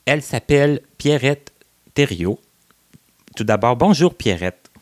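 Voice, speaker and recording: A man's voice: medium pitch, lively intonation, unhurried. A man hosting a casual interview, chatty with many fillers and restarts. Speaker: interview host.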